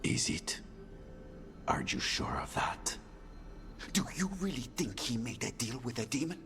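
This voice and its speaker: An actor playing a bitter, brooding assassin in a video game, with insinuating, fatalistic delivery. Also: male voice, mid-range voice, huge pitch swings, unhurried, a bit bright, faint music behind, heavily acted. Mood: brooding, doubting. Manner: dramatic, insinuating rhetorical questions. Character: bitter, brooding male assassin of a crime house, taunting and fatalistic.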